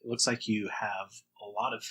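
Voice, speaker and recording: Husky man interviewing in halting phrases. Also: on the low side, flat delivery, a bit bright. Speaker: podcast host, interviewer. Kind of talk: casual interview chat.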